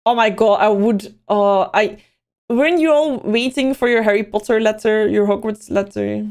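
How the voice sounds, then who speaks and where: A woman's voice: mid-range voice, normal speed, darkish tone. A young Belgian woman, chatting casually on a livestream, rambling and answering chat messages as they come in. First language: Dutch. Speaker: livestream host.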